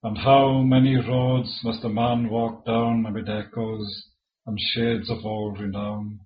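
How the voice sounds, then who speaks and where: Slow, measured Welsh man reading poems. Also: fairly low pitch, level tone, highs cut off. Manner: slow and measured, with halting asides between poems. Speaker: poet. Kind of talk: reading his own poetry aloud.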